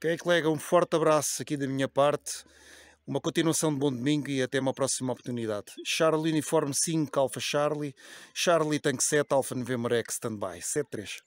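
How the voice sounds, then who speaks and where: A man's voice: medium pitch, brisk, no room sound. A man in his forties speaking courteously as an amateur radio operator, recorded outdoors on a phone at arm's length. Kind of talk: casual radio contact chat. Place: outdoors on a hillside above a lake.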